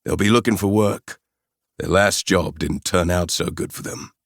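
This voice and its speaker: A man voicing a gruff, blunt South African mercenary leader in his late forties, with a South African accent and a gravelly voice. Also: sits low, normal speed, dry recording, studio quality. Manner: blunt, surly.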